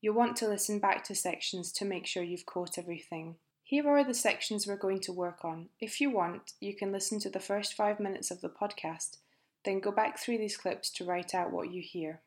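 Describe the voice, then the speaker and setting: A woman with a British accent teaching English pronunciation in a clear, careful, scripted lesson. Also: medium pitch, normal speed. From Britain.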